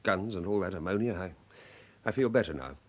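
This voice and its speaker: An actor playing a shaken, apologetic bank manager in a radio drama, hesitant and polite. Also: male voice, deep voice, animated, brisk. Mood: shaken, flustered. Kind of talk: British radio drama acting.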